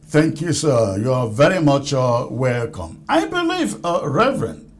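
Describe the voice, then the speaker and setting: A man hosting a live news discussion show in a formal, deliberate, courteous manner. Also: medium pitch, wildly swooping pitch.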